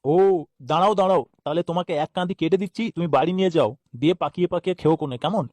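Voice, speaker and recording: Man voicing a high-pitched grumpy cartoon banana farmer. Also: very fast, darkish tone, dry recording, natural voice. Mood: eager. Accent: West Bengali. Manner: grumbling, swearing. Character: irritable, foul-mouthed village banana farmer, adult man. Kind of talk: comic cartoon dubbing.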